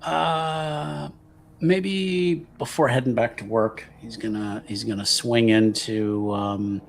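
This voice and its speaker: A man casually describing his character's actions as a tabletop game player, halting and thinking aloud. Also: medium pitch, fairly even intonation, creaky, a little hiss or hum. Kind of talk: casual improvised game talk.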